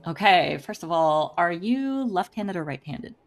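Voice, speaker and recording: A woman with a somewhat low voice hosts a podcast interview. She is bubbly and upbeat, and she laughs easily between her questions. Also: animated, unhurried. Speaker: podcast host.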